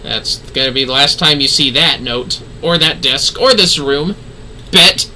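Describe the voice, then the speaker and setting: A man's voice: mid-range voice, measured pace, sharp tone, slight background noise. A man giving casual livestream game commentary in an offhand, wry, slangy way. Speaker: game streamer.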